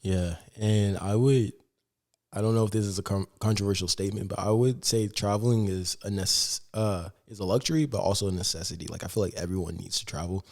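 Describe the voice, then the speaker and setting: A young man from the United States with a very low voice, chatting casually on a podcast about his travels. He keeps it loose and conversational, with lots of "like" and easy filler. The talk stays friendly and unhurried throughout. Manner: relaxed, chatty, many "like"s. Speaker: podcast co-host.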